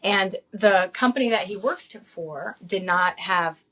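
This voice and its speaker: A woman's voice: medium pitch, unhurried, bright, forceful tone. A woman in her late forties, a guest in a casual interview, telling her story with frequent laughs, ums and restarts. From upstate New York, raised in Saudi Arabia.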